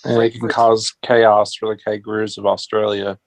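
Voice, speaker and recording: A man's voice: lowish voice, little pitch movement, moderate pace, no echo. A man taking part in a casual talk show chat, asking questions.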